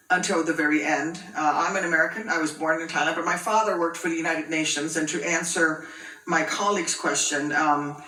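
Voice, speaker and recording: A man speaking at a hearing, earnest and slightly halting. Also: on the high side, bright, forceful tone. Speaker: lawmaker at a hearing. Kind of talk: hearing remarks. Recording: echoey hearing room, distant mic.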